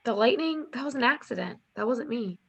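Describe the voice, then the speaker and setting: A woman's voice: on the high side, expressive, brisk, creaky, breathy edge, exaggerated voice. A woman reading the part of an unsure, earnest teenage witch girl in an amateur table read. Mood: anxious.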